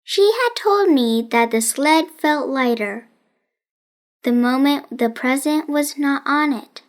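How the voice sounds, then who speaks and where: A woman narrating a children's storybook in a deliberate, carefully enunciated way. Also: high voice, animated. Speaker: children's audiobook reader.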